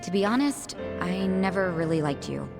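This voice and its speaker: A tough, frank woman in a game dub. Her lowish voice is brusque and plain-spoken, with music clearly behind it. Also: animated, normal speed. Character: blunt, tough, frank woman with guarded sympathy. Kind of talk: English video game dub acting.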